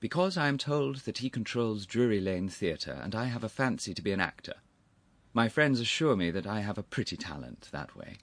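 A man voicing an affable, impudent young gentleman in a storybook, unflappable, courteous and easily conversational. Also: lowish voice, sing-song, moderate pace, dry recording, voice pushed up, full character voice. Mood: cool, frank. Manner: smooth, courteous, easy conversational cheek. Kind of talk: audiobook character voice.

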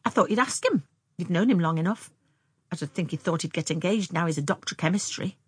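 A woman voicing a brisk, blunt and curious young country girl in colloquial, exclamatory audiobook dialogue. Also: fairly low pitch, expressive, breathy edge, dry recording. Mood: puzzled.

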